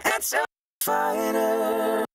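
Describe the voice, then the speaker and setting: A woman singing a slow melodic line, processed with pitch-shifted, vocoder-like harmonies. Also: level tone, over music.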